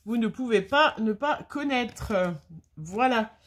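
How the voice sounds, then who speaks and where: A woman's voice: on the high side, takes it slowly. A middle-aged French woman with a slight cold, chatting casually and rambling with many fillers as she opens her mail for her viewers. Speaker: scrapbooking vlogger. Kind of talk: casual chat, opening mail on camera.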